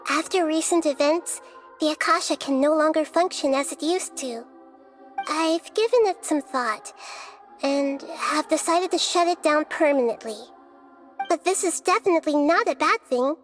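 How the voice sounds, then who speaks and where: A woman voicing a small, childlike but wise goddess in a video game, speaking in a gentle, measured, formal way. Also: high voice, soft background music, natural voice. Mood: thoughtful, earnest.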